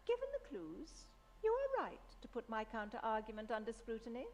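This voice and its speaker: High, lilting, scholarly female wizard. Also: wildly swooping pitch, a little mellow. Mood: logical, firm. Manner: measured, formal, precise enunciation. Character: poised, scholarly female wizard gatekeeper, analytical and dignified. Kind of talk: scripted video game voice acting.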